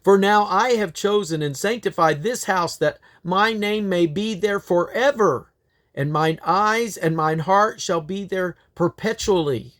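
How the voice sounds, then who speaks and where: A man's voice: high voice, measured pace, fairly bright tone. A man reading scripture aloud in a solemn, declamatory way, with the occasional slip and correction. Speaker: Bible reader.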